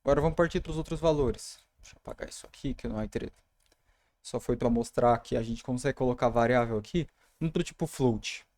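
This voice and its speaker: Young Brazilian man, relaxed tutor tone, warm and dry. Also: medium pitch, fast, dry recording. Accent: Brazilian Portuguese. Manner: casual, talks through code while typing. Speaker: programming tutor. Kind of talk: screen-recorded coding tutorial.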